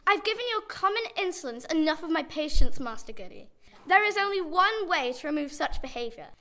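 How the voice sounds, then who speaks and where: Young girl doing a strict angry lady teacher in a radio play, high sharp voice. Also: animated, a little fast, full character voice. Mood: furious. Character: stern, furious female schoolteacher, shrill disciplinarian.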